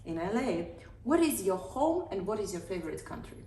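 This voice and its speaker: A woman hosting an interview, speaking in a measured way as she reads out astrology points. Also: medium pitch, big pitch swings, mellow, recorded from a distance. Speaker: host and astrologer. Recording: echoey room, voice off-mic, faint noise.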